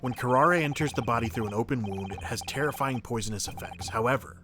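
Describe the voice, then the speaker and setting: A man's voice: mid-range voice, quick pace, lightly acted. Man playing an earnest young defense lawyer over music. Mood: explaining. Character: nervous, earnest young male defense lawyer reasoning aloud. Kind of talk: dramatic video game dub. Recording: music under the voice.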